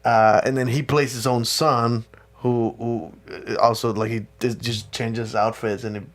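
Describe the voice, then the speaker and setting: A man with a Spanish accent, a native Spanish speaker, hosting a podcast and chatting casually in a rambling, animated way, cursing here and there. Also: mid-range voice, a little slow. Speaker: podcast host. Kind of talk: casual chat.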